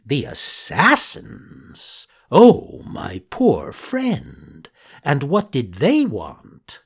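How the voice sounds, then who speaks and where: Man doing crafty fox, slow drawl, big pitch swings, breathy. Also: wildly swooping pitch, exaggerated voice. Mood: mock dismay. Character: sly smooth-talking fox, male, scheming.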